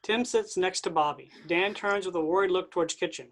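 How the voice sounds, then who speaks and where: A reader giving the stage directions of a play in a plain, even way. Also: medium pitch, a little fast. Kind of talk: reading stage directions aloud.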